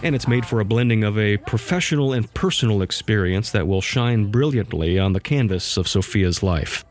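A man's voice: lowish voice, expressive, normal speed. A man reading scripted narration for a podcast with a polished delivery. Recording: music bed underneath.

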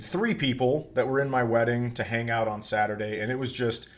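A middle-aged American man with a mid-range voice talks casually on a comedy podcast. He tells animated stories and playfully puffs himself up as he goes. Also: mid-range voice, brisk, slight background noise.

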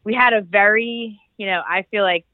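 A woman's voice: mid-range voice, fairly even intonation, moderate pace, no room sound. A woman from the Philadelphia area, stuffed up and nasal with a cold, chatting through her career story as a music publicist in an interview. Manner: chatty, rambling with fillers and restarts. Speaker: music publicist, podcast guest. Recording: tinny phone-like line.

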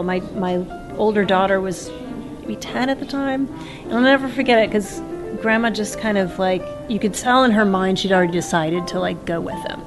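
A woman's voice: medium pitch, poor audio. A middle-aged woman reflecting on life with humor, telling a family anecdote. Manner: humorous, warm, storytelling. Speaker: conference interviewee. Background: music.

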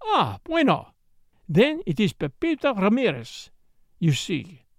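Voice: mid-range voice, wildly swooping pitch, takes it slowly, no room sound, studio quality, heavily acted. A narrator voicing a pert, childlike young Mexican woman in a storybook, speaking broken English with a Spanish accent and a teasing lilt. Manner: saucy, lilting, childlike.

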